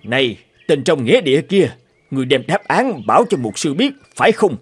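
Bullying fat fairy-tale king: haughty, scolding, higher-pitched, very animated delivery over music. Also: fairly quick, husky, no echo. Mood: menacing, accusing. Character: imperious, bullying fat king, quick to threaten. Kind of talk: dubbed cartoon fairy tale acting. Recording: music behind the voice.

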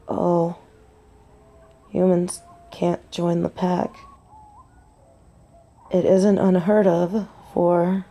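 A woman's voice: on the low side, dark tone, heavily acted. A woman playing a tender, nervous young biker girlfriend in an intimate roleplay audio drama, speaking hesitantly and breathily. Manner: hesitant, breathy, halting delivery with whispers.

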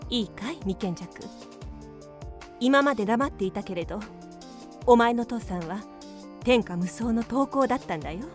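Woman acting worried mother, high voice over music. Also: expressive, normal speed, long pauses, close to an everyday voice. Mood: grave. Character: worried mother and devoted swordsmith's wife. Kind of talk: radio drama acting.

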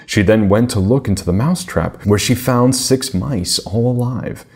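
A middle-aged man narrating a children's fairy tale in a measured, deliberate manner. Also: fairly low pitch, darkish tone, husky. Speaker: storybook reader.

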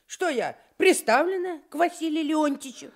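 An actress playing a curt, sharp-tongued village landlady with grumbling, colloquial speech in a radio drama. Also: sits high, animated, normal speed. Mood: grumbling, irritated. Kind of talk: Soviet radio drama acting.

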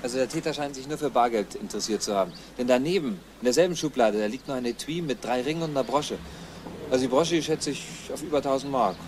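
A brisk detective in a crime radio play , acted by a man. He reports in detail, quickly and in a businesslike way, mid-pitched, with clear background noise. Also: lightly acted. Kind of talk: German crime radio drama acting.

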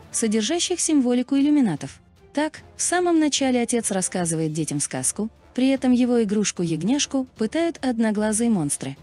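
A woman's voice: on the high side, dark tone. A woman reading a scripted explainer narration as a narrator. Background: background music.

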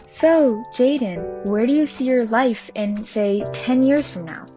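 A woman playing a sober, measured authority figure in an amateur radio play. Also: a little high, lively intonation. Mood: patient, questioning. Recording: muffled lo-fi, loud music behind.

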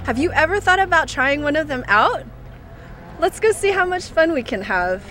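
A woman's voice: sits high, animated, moderate pace. A young woman hosting a TV segment, asking cheerful scripted questions into a handheld mic outdoors. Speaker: TV host. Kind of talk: upbeat presenting and interviewing. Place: outdoors at a bike rental lot.

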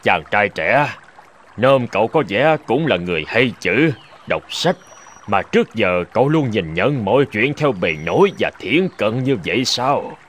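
An actor playing a calm old male hermit sage who chides in a measured, knowing way. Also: lowish voice, a little hiss or hum. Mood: chiding. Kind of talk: Vietnamese cartoon dubbing.

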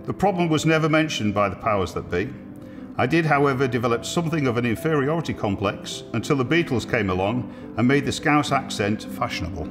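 A man's voice: medium pitch, expressive, fairly quick, long reverb tail, over music. Older man steadily reading his memoir. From Liverpool, England. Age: 80s. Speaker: army veteran. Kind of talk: reading a personal memoir aloud. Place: indoor museum room with military equipment.

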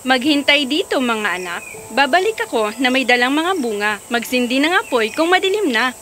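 A woman's voice: sits high, big pitch swings, moderate pace, sharp tone, a little hiss or hum, heavily acted. A woman playing a scheming, cold young stepmother in a fairy tale cartoon, coaxing and commanding. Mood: falsely sweet.

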